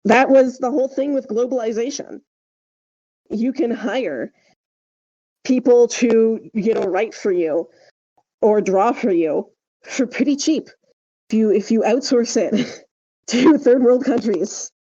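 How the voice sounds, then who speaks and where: Higher-voiced woman, mellow, explaining emphatically. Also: slow pace, long pauses, slightly rough, highs cut off. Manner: emphatic, explanatory, often checks with "right?". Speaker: podcast co-host. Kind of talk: casual podcast discussion.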